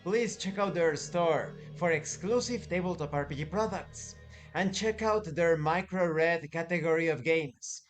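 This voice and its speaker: A man presenting a tabletop game review as channel host, deliberate and emphatic, pausing and stretching key words. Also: on the high side, soft background music. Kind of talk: scripted-sounding review presentation.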